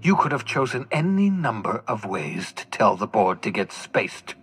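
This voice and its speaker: An actor playing a despairing, defiant older fugitive scientist in a video game, theatrical and swinging from bitter to pleading. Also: male voice, on the low side, very animated, moderate pace, a bit bright. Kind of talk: dramatic video game acting.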